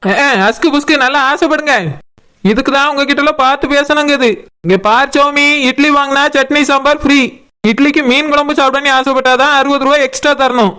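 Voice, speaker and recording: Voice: high-pitched, fairly even intonation, brisk, pushed and bright. A performer voicing a cheeky, stubborn cartoon food-stall seller who hawks and bargains emphatically in a Kanyakumari Tamil accent. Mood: defiant. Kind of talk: comic cartoon dialogue.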